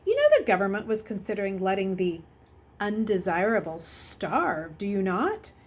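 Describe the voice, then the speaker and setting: A woman's voice: mid-range voice, very animated, moderate pace, breathy edge. A woman voicing a wealthy older male schoolmaster in a storybook, with formal, rhetorical phrasing and a bitter, wry temper. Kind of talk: children's novel read aloud.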